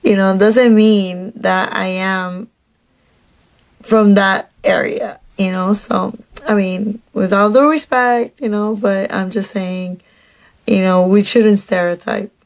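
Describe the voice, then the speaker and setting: A woman with a Mexican Spanish accent, chatty and animated, telling a dating story to her phone camera with many restarts. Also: medium pitch, takes it slowly, poor audio. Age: adult. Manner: slips into Spanish "dije". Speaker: dating vlogger.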